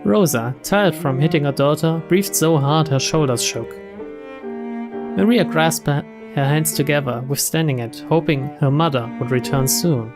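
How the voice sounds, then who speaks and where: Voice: medium pitch, moderate pace. A narrator giving a scripted reading of a story from a visual novel. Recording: voice over clear string music.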